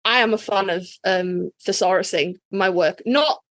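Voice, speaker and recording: A woman's voice: mid-range voice, normal speed, a bit bright, no room sound. A woman co-hosting a podcast, chatting casually in a blunt, informal way and often restarting her phrases. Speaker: podcast co-host. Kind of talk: casual chat.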